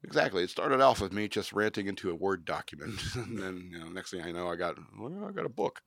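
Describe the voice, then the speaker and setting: A man's voice: deep voice, very animated, fast, creaky, a bit bright, no echo. A man hosting a podcast, rambling and joking in casual banter. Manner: rambling, jokey, self-promoting. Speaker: podcast host.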